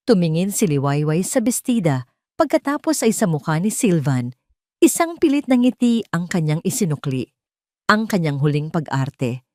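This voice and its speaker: A woman narrates a scripted drama in a slightly low voice. Her pitch moves in lively, expressive swings. The sound is dry, with no room around it. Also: dry recording. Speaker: story narrator.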